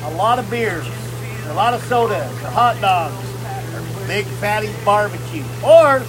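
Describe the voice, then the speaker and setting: A man's voice: sits high, expressive, unhurried. A man in his fifties giving a casual, rambling promotional chat with a folksy, joking manner, recorded outdoors on a phone set a short distance away. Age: 50s. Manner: folksy, jokey, says y'all. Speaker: music festival organizer and vlogger. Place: outdoors in a bubbling hot tub.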